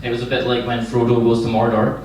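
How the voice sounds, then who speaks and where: A young man from Scotland with a Glaswegian accent, doing a stand-up set of deadpan one-liners. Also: medium pitch, quick pace, a crowd in the background. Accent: Glaswegian Scottish. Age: young adult. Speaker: stand-up comedian. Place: comedy venue before an audience. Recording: echoey live room, distant mic, noisy.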